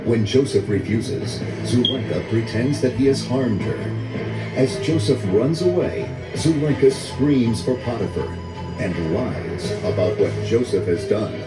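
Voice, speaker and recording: An older man reading a children's story in a measured, dramatic storytelling manner. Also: medium pitch, animated. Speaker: read-along story narrator. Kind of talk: scripted children's story narration. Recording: roomy echo, music under voice. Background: orchestral music.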